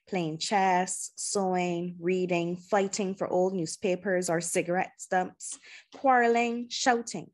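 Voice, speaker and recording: A woman narrating a children's novel in audiobook style, with measured, deliberate phrasing and pauses. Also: mid-range voice.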